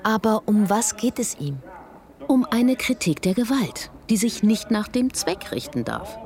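Lively, questioning female thinker. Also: medium pitch, a little slow. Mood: reflective, questioning. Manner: reflective, insistent questioning. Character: questioning woman pondering law and philosophy. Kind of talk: literary radio play dialogue.

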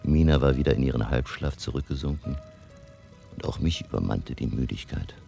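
Very deep, gravelly old vampire hunter. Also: male voice, mellow, soft background music. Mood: solemn, weary. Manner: solemn, measured, dramatic. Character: grave elderly vampire hunter recounting his journey in a diary. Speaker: narrator and actor. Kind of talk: German radio drama, diary narration.

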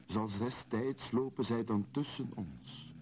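An actor playing a solemn storyteller of a mystical legend, narrating poetically and speaking straight to the listener in a radio play. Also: male voice, fairly low pitch, lively intonation, slow pace, background noise. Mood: solemn, mysterious. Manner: solemn, incantatory, addressing the listener directly.

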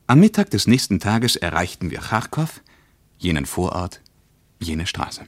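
A male actor playing a reflective traveller who reads his travel diary aloud as scripted radio narration, in a measured, literary manner. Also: low voice, very animated, dry recording, full character voice.

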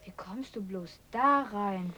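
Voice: medium pitch, slow, pushed and bright. A performer playing a timid young grandson in a German radio drama. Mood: anxious.

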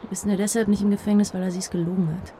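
A woman's voice: mid-range voice, flat delivery, racing, warm tone, slight background noise, lightly acted. An actress playing a curt, reproachful teenage girl in an audio drama.

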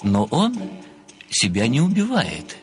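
An actor playing an obsessive, polite male admirer turned amateur detective in an audio play, speaking deliberately and rhetorically. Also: mid-range voice, wildly swooping pitch, background noise. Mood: storytelling. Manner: deliberate, rhetorical, deferential yet insistent.

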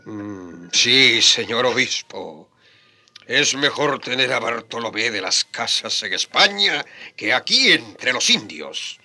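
An actor playing a deferential but spiteful accomplice of a bishop in a radio drama, speaking in a measured, obsequious way. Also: male voice, medium pitch, big pitch swings, bright, forceful tone, exaggerated voice. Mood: conspiratorial.

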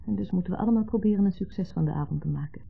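An actress playing a patient, mildly exasperated wife in a 1970s radio play, reassuring and matter-of-fact. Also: female voice, fairly low pitch, rapid-fire, creaky, slightly rough, heavily acted. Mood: soothing, insistent. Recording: muffled narrow-band 1970s radio recording.

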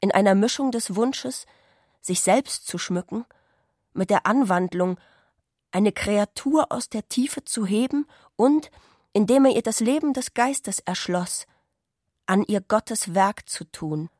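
Woman, radio play narrator, scripted literary reading, mid-range pitch, very little room sound. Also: expressive, slow pace, a little mellow, no echo, clean studio sound.

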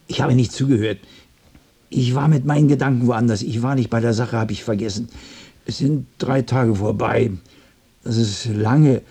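An actor playing a lonely, muddled, touchy man in a radio play, rambling in a halting, repetitive, nervous way. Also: mid-range voice, fairly even intonation, mellow, breathy edge. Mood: rambling, distracted. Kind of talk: German radio play acting.

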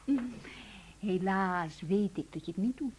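An actress playing a wry, teasing music teacher in a Dutch radio drama. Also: female voice, medium pitch, lively intonation, a little slow, darkish tone, a little hiss or hum, natural voice. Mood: teasing, intimate.

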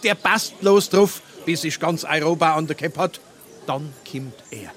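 A man's voice: on the high side, unhurried, fairly bright tone. A man playing a self-important, lecturing middle-aged newspaper fanatic with a Hessian accent in a dialect comedy. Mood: pompous, lecturing. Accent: Hessian, Darmstadt dialect. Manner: pompous, lecturing. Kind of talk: dialect stage comedy acting.

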